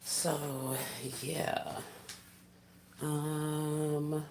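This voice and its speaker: A soft-spoken woman, chatting casually with viewers on a livestream while she works on gift baskets. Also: deep voice, level tone, drawn-out words, creaky, slight background noise. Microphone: phone set down while working.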